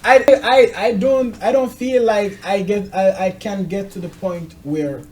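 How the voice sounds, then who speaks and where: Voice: medium pitch, unhurried. An interviewer with a middle-range voice hosts a show. The delivery is casual and conversational, moving between questions to a guest and personal reflections.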